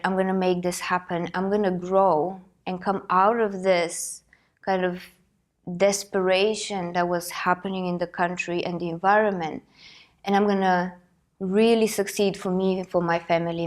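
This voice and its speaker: A woman in her thirties from Bulgaria hosts a personal motivational talk, her voice sitting a little low. She is nursing a cold and speaks earnestly, reflecting aloud as she works through her thoughts. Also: fairly even intonation, moderate pace. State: has a cold. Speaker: host.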